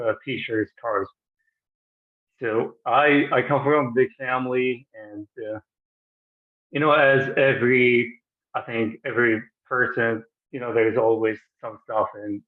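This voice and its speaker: A man in his thirties from Iraq, a podcast guest telling his story in a halting, casual way with many restarts. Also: mid-range voice. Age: 30s. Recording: tinny phone-like line.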